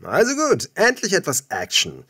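A man's voice: sits high, huge pitch swings, normal speed, pushed and bright, slightly rough, pitched above the performer's own voice, exaggerated voice. A man voicing a cocky, lewd young gang leader in an audiobook, with a taunting, theatrical manner. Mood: cocky, commanding.

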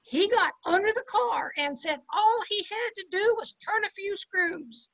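A woman's voice: extremely high pitch, animated, unhurried, fairly bright tone, full character voice, poor audio. An older woman playing a brassy, sardonic housemaid in an amateur old time radio sitcom, with slangy, punchy delivery. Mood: wisecracking. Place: indoor room at home, video call. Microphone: computer mic on a video call.

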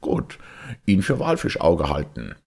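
A man plays a proud foreign harpooner in an audiobook. He talks in terse broken sentences, calm and sure. The voice is husky with big swings in pitch. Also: measured pace, heavily acted. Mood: confident.

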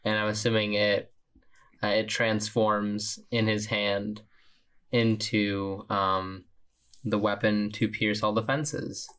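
A man with a fairly low voice plays a tabletop role-playing game. He describes his character's actions casually, halting as he searches for words. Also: monotone, fairly bright tone, smooth. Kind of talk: casual role-play narration.

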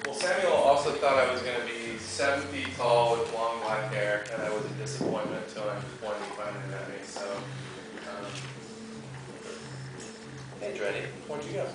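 A man's voice: fairly low pitch, normal speed. A man, a film director, speaking casually at a live question and answer session on a theater stage. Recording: very echoey, noisy theater, distant pickup.

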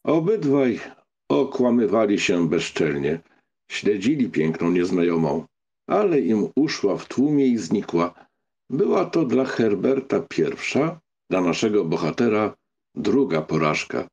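Mellow, gravelly male audiobook narrator. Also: on the low side, very animated, unhurried. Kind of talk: scripted literary narration.

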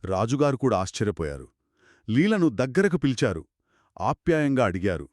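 A man co-narrating a children's moral story in an expressive, conversational way. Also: mid-range voice, normal speed, no room sound, clean studio sound. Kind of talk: conversational two-person storytelling.

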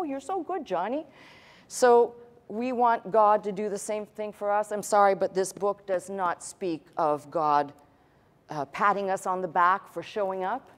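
A middle-aged woman preaching to a congregation in a blunt, emphatic, conversational way, like an unapologetic teacher. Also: mid-range voice. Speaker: pastor. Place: church stage in a large indoor hall.

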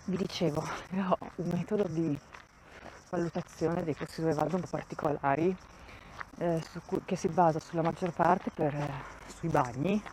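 A woman's voice: low voice, fairly even intonation, moderate pace, dark tone, a little hiss or hum, poor audio. A woman giving a casual walking tour of a campground, thinking aloud and often restarting her sentences, recorded on a handheld phone outdoors. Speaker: vlogger touring a campsite.

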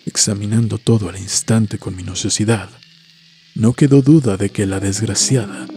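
Low male narrator doing a scary story, measured n solemn, music in the back. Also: dark tone. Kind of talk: scripted horror story narration. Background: background music.

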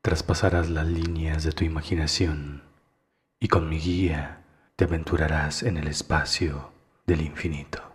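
Super deep male voice, really slow n hushed, guided meditation style, lots of pauses. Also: level tone. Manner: hushed, deliberate, with long pauses.

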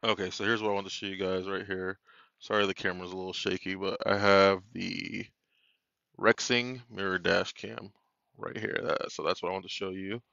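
A man who drives a flatbed truck, filming from inside his cab on a handheld phone and talking through a product in a casual, rambling way with lots of fillers. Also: low-pitched, normal speed, creaky, no echo, highs cut off. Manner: rambling, filler-heavy, self-correcting. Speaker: truck driver reviewing a dash cam. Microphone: phone held while filming the dashboard.

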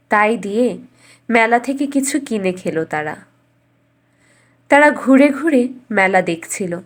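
A woman reading a scripted fairytale as a storyteller. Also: a little high.

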